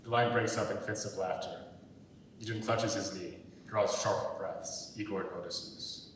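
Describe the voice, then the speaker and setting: A man's voice: fairly even intonation, a little slow. Young man narrating, very echoey and distant. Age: young adult. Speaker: stage-direction narrator. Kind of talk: scripted narration at a dramatic reading. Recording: very echoey, distant, rumbling background noise.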